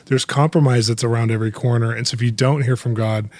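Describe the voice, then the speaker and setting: A man hosting a podcast interview, chatty and upbeat, with quick laughs, in a studio. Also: mid-range voice, fairly even intonation, a little mellow. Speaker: podcast host. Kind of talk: casual interview chat.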